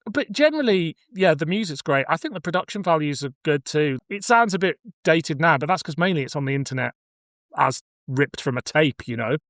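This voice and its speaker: A man's voice: fairly high pitch, animated, fairly quick, a bit bright, no room sound, clean studio sound. A man hosting a podcast in casual discussion, conversational and thinking aloud as he goes. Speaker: podcast host.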